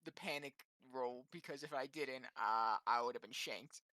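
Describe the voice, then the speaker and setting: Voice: medium pitch, normal speed, sharp tone, no echo. A player in a tabletop game, chatting casually with the group. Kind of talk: casual gaming chat.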